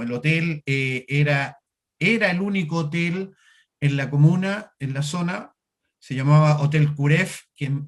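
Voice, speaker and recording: A Chilean man on a video call, his voice sitting a little high for a man. He tells his story at length, hesitating often and circling back to restart his sentences. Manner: halting, many fillers and restarts, storytelling. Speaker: interview guest. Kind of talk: conversational interview over a video call.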